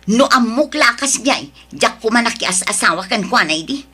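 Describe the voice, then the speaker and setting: Woman doing a bright, exasperated young wife. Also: fairly high pitch, lively intonation, moderate pace, husky, faint music behind, heavily acted. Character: overworked young wife, complaining.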